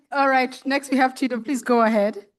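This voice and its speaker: A woman moderating a panel on a conference stage before a live audience, playful and encouraging as she introduces the speakers. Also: sits high.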